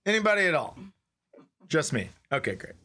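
A man's voice: a little high, very animated, a little fast. A man co-hosting a comedy podcast in casual banter, cutting in with short quips and mock asides.

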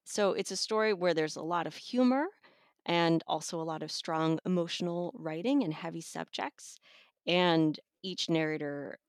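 A woman's voice: lowish voice, normal speed, dry recording, studio quality. A woman reviewer talking casually and conversationally as she discusses a book as a guest. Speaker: audiobook reviewer and podcast guest.

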